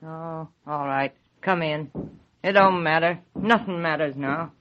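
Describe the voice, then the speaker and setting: An actor voicing a poor, grieving, frightened old widow who talks curtly and sounds defeated in an old radio drama. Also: female voice, low voice, unhurried, fairly bright tone. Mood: bitter, weary. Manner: curt, defeated, fearful. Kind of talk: 1930s radio acting. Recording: dull old radio broadcast.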